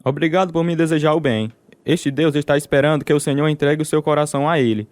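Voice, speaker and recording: An actor with a Brazilian accent playing a devout, steadfast prisoner who tells his story in measured, earnest radio drama style. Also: a little high, fairly even intonation, dry recording, natural voice. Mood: firm, devout. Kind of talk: dramatized first-person narration, radio drama.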